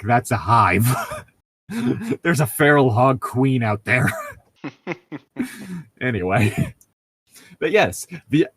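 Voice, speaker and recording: A man's voice: medium pitch, a bit bright, breathy edge, no room sound. A man in casual conversation as a podcast co-host, swearing freely and joking crudely. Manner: crude joking, profane, laughs often. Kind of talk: casual chat.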